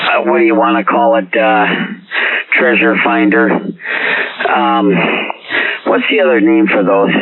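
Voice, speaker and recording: A man chatting casually over CB radio, rambling and searching for his words. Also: on the low side. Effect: CB radio transmission. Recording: tinny CB radio with faint hiss.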